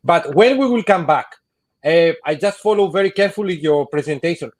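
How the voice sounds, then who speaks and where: Halting, fairly high-voiced man. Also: lively intonation. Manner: halting, searching for words. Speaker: webinar moderator. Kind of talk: webinar discussion.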